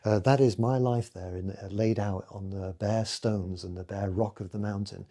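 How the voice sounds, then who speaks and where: A man in his late fifties talks to camera from a room at home, his low voice gravelly and dark. He speaks reflectively and hesitantly, with frequent fillers. His softer passages fade almost to nothing, and a husky edge runs through it. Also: fairly even intonation, normal speed. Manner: reflective, hesitant, many fillers, trailing off softly. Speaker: photographer giving a recorded festival talk. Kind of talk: recorded talk to camera. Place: home room with bookshelves, indoors.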